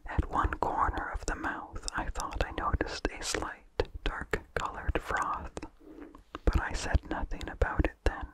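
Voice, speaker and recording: A person reading a vintage horror story as ASMR in an unvoiced whisper, slowly and deliberately, in short phrases with pauses. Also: poor audio. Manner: slow, deliberate, pausing between short phrases. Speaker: narrator.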